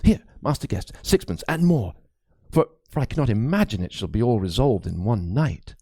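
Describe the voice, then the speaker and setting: A man's voice: fairly low pitch, sing-song, normal speed, mellow, slightly rough, no room sound, clean studio sound, voice pushed down, full character voice. A man voicing a frightened, fervent medieval priest in an audiobook, pleading and stammering with dramatic urgency. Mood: desperate. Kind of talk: dramatic audiobook character acting.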